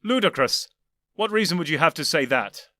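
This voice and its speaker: A man voicing an excitable, snappish Scottish man who speaks indignantly in a storybook reading. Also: fairly high pitch, a little fast, no room sound, voice pushed up, close to an everyday voice. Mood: defensive. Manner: snapping, indignant, near-yelling.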